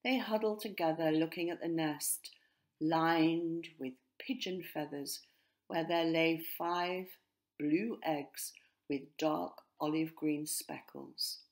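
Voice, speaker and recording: A woman narrating a children's storybook expressively, in measured phrases that sometimes drop to hushed tones. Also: low voice, steady tone. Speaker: author narrating her own story.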